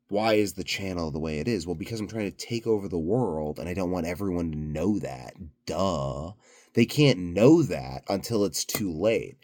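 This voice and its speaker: A man's voice: low voice, a little mellow. A tired, sleepy man rambling through an unscripted video diary in a dry, tongue-in-cheek, grandiose way. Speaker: vlogger, channel host.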